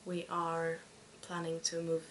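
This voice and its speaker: A Swedish woman in her twenties hosting a podcast in casual chat, speaking with a Swedish accent and pausing often to find her words. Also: sits low, monotone, slight background noise. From Sweden. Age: 20s. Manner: hesitant, searching for words. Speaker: podcast host.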